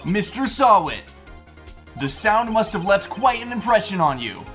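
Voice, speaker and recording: A man's voice: high voice, very animated, a little slow, a bit bright, heavily acted. A man voicing an earnest young defense attorney in a video game fan dub, speaking assertively and with accusing emphasis. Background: game music.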